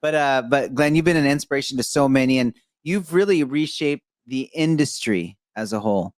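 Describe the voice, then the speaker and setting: A young adult man from Egypt hosting a podcast interview, talking in an animated, effusive, rambling way with many fillers. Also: mid-range voice, fairly quick, no echo, clean studio sound. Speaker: podcast host. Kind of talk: enthusiastic interview chat.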